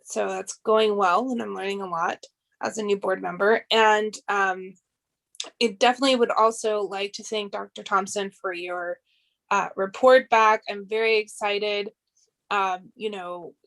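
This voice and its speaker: A woman with a mid-pitched voice speaks as a school board member at a public meeting. Her delivery is earnest and measured, like someone addressing colleagues on the record. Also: fairly even intonation, gravelly, fairly bright tone. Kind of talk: prepared remarks at a public meeting.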